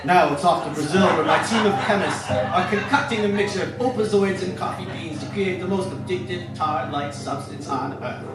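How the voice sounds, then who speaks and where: A man's voice: high-pitched, moderate pace, hardly any pauses, natural voice. A man playing a scheming, megalomaniac evil genius villain in an old-time radio serial parody, with grandiose, theatrical delivery. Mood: gloating, scheming. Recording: echoey, distant, under very loud music.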